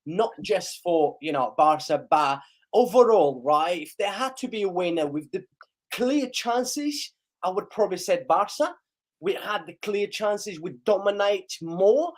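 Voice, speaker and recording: A young man hosting a live football reaction stream, excitable and hoarse from losing his voice, urging viewers to like and share. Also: high-pitched.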